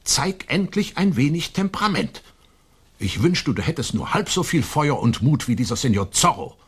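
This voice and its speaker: An actor in a German radio play, playing a proud, domineering old colonial nobleman and stern father with a husky voice and an imperious, emphatic delivery. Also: a little high, sing-song, moderate pace, a bit bright, heavily acted. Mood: scolding. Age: older.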